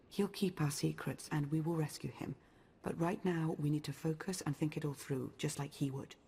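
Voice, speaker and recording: Low-voiced, commanding woman strategist. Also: gravelly, a little mellow, breathy edge, heavily acted. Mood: composed, resolute. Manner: measured, authoritative, precise. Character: cautious, commanding female strategist, brisk and composed. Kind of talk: video game cutscene acting.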